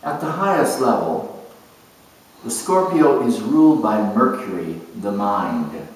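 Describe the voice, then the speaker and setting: A man performing a first-person character monologue in a deliberate, measured, theatrical way with emphatic phrasing. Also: lowish voice, very animated. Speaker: astrologer dramatizing zodiac character types. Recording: echoey room, distant microphone.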